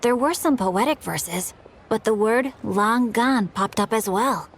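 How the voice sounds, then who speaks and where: A woman playing a bookish teenage boy in a game, articulate and measured as he explains. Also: a little high, lively intonation, slight background noise. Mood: informative.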